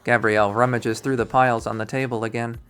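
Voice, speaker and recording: A narrator of unclear sex reads a story aloud in a medium-pitched voice. Music plays behind the reading, which is scripted narration from a visual novel. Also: flat delivery, fast, a bit bright, smooth.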